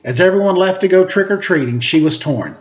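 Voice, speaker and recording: Animated male storyteller, fairly high voice. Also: a little fast. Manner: playful, expressive. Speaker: storyteller reading to children. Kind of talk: animated children's story reading with casual asides. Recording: slightly dull, lo-fi audio.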